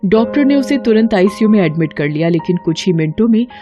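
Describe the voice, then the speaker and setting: A female storyteller delivers scripted, dramatic narration. Her voice sits at a medium pitch, with music clearly playing behind it. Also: lively intonation, a little fast, lo-fi sound. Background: background music.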